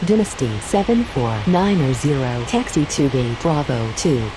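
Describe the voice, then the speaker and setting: A woman with a low-pitched voice gives formal aviation radio calls. Steady hiss and noise clearly sit behind her. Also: moderate pace. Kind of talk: air traffic control radio phraseology.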